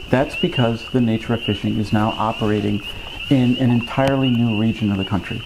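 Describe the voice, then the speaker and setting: A man in his fifties hosting a fishing vlog, explaining things to camera in a conversational, measured way with wry asides. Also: medium pitch, background noise. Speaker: fishing channel host. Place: small indoor room at a desk with a computer.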